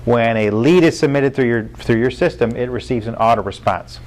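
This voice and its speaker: A man's voice: medium pitch, moderate pace, darkish tone. A middle-aged American man presenting sales training, speaking emphatically and posing rhetorical questions. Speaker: car dealership sales trainer. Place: meeting room with rows of empty chairs.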